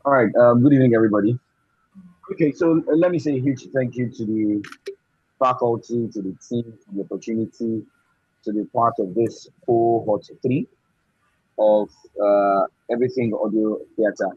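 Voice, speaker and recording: A man's voice: mid-range voice, steady tone, normal speed, darkish tone, breathy edge. A man with a Nigerian accent giving an effusive, earnest, informal thank-you speech, repeating phrases as he goes. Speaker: graduating course student. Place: indoors, online video call. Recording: muffled online call, echoey room.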